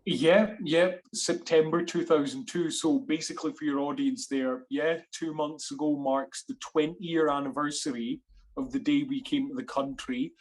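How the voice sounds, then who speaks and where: A man's voice: on the high side, normal speed, poor audio. A man in his twenties, from Sri Lanka, with a Scottish accent, rambling animatedly as the guest in a casual interview. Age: 20s. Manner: animated, rambling, many fillers and restarts. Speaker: guest actor and writer.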